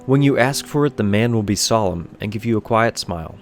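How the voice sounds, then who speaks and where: A man reading a scripted second-person bedtime story in a measured, solemn storytelling manner. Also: fairly low pitch, lively intonation, faint music behind.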